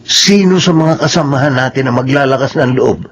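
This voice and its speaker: An actor playing a puzzled, suspicious worker in a Filipino radio horror drama, with a Filipino accent. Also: male voice, medium pitch, a little fast, close to an everyday voice. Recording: dull, noisy radio drama audio.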